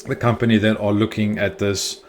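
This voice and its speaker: A man's voice: lowish voice, level tone, moderate pace, a little hiss or hum. A man hosting a football fan channel, giving chatty, opinionated news commentary with the odd filler.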